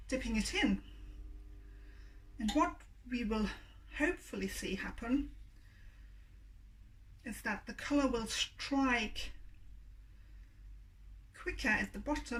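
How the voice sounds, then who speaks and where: A woman with a croaky voice, chattily demonstrating a craft and hesitating with many ums. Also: a little high, lively intonation, a little slow, long pauses. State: croaky voice, clearing throat. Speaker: yarn dyer and shop owner. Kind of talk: casual demonstration video. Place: home workspace with hot plate. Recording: distant mic with faint hum. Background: faint hum of a hot plate.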